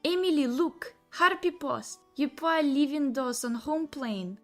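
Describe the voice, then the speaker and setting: Woman voicing an eager, high-pitched harpy girl. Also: animated, a little slow, faint music behind. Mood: excited. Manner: broken English, clipped phrasing, eager. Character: cheerful simple-spoken female harpy with wing claws.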